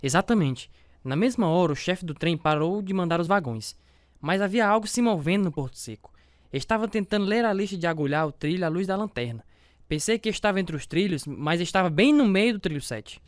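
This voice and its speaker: A man's voice: sits high, lively intonation, a little fast, no echo, studio quality. A man acting as a devout, self-doubting family man, narrating and talking in an earnest, confessional way with a Brazilian accent in a religious radio drama. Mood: conflicted, earnest.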